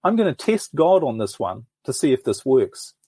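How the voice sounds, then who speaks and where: A middle-aged man tells his story thoughtfully, as if working it out as he speaks. His voice is husky and a little breathy, and it sits mid-range. It is recorded close and dry, with no room sound. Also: medium pitch, big pitch swings, normal speed, warm tone, dry recording. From New Zealand. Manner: earnest, thoughtful storytelling with frequent restarts. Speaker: podcast guest. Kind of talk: reflective life story in an interview.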